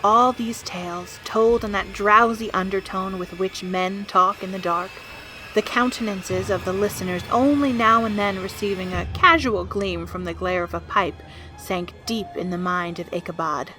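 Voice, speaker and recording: A woman giving measured, scripted literary narration for an audio drama. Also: mid-range voice, fairly even intonation, sharp tone, faint music behind. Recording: clearly noisy background with clicks.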